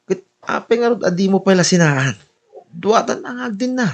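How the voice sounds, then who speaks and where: A persuasive man in an Ilocano radio drama, acted by a man. His voice sits a little high and swings up and down as he presses with questions. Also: lively intonation, normal speed, dull audio. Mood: pressing, hurt.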